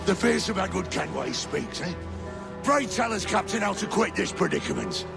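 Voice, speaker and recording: An actor playing a vicious, mocking, unhinged pirate captain with a gravelly voice in a dramatic video game cutscene. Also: male voice, high-pitched, lively intonation, normal speed, over music, heavily acted. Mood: sneering, taunting.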